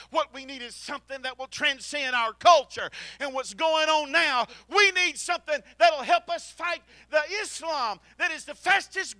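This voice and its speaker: A middle-aged man preaching a sermon into a handheld mic in a church, swinging from shouted exhortation to quiet storytelling. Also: very high voice, no echo. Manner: preaches while pacing the platform. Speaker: pastor. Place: church sanctuary.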